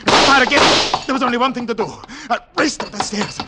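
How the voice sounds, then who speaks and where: An actor playing a haunted secret agent on the run, narrating in dramatic, deliberate, clipped phrases in 1940s radio thriller style. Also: male voice, very high voice. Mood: frantic. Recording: noisy 1940s radio recording with clicks.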